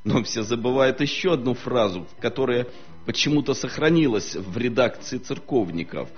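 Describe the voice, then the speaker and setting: A man speaking as a historian guest on a studio talk show, lecturing in a measured way with frequent hesitations. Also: mid-range voice, expressive, lo-fi sound, soft background music.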